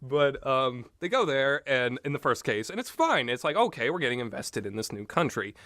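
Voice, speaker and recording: A man reviews games in a loose, unscripted ramble. His voice is bright and sharp-edged, with an easily amused manner. The sound is dry, with no room around it. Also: medium pitch, big pitch swings, normal speed, no room sound. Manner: rambling, often breaks into amused laughter. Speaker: video game reviewer.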